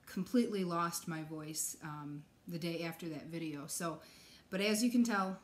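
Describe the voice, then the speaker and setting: A young adult woman vlogging from her couch, chatting casually with many ums, her voice still recovering from a cough. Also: on the low side. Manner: chatty, many ums, soft nervous laughs. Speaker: stitching vlogger. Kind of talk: casual chat to camera. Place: living room couch.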